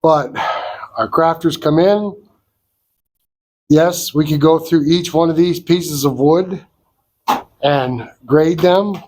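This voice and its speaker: A man hosting a sawmill vlog, congested from a cold, explaining things in a slow, folksy, down-to-earth way. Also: on the high side, a little mellow, slight background noise. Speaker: sawmill owner and channel host. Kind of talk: casual outdoor vlog walkthrough. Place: wood shed at a sawmill.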